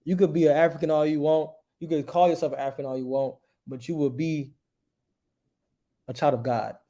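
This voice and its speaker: A man's voice: on the high side, steady tone, a little fast, mellow. A man teaching a livestreamed religious history class, reading passages aloud and preaching emphatically, often checking with "right?".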